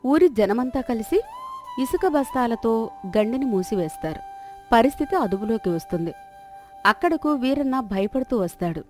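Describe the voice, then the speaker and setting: A woman reads scripted story narration in a fairly high voice. Music plays underneath as she sets each scene. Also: lively intonation, moderate pace. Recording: music behind the voice.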